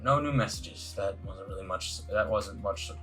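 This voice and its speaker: A man's voice: lowish voice, moderate pace, pushed and bright, faint music behind. A man narrating a visual novel aloud in a casual let's play style, now and then stumbling and correcting himself. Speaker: let's play host and reader.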